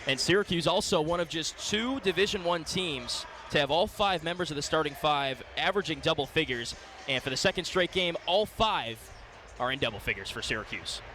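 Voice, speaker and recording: A man with a somewhat high-pitched voice gives live basketball commentary for radio. He describes the action in short, clipped phrases as the play-by-play announcer. Loud arena ambience and bouncing balls sit behind his voice. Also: expressive, fairly quick, noisy background. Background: gym ambience, bouncing basketballs.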